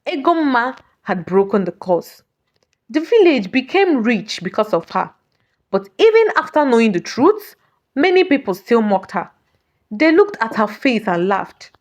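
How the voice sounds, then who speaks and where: A woman with a fairly high voice narrates a folktale. Her pitch moves in wide, animated swings. She delivers short dramatic phrases with deliberate pauses between them. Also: dull audio. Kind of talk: dramatic scripted storytelling narration.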